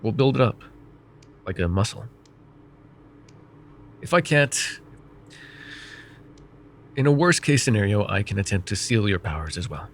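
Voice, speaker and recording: A man playing a gravelly, vain mage counselor. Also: on the low side, slight background noise. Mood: calm reassuring. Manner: calm, measured, gently teasing. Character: vain, wry male mage counselor, middle-aged. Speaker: voice actor. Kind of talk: ASMR roleplay.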